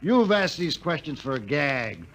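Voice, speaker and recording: An actor playing a brusque, dismissive company boss in a 1950s radio drama. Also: male voice, a little high, very animated, unhurried, a bit bright, exaggerated voice.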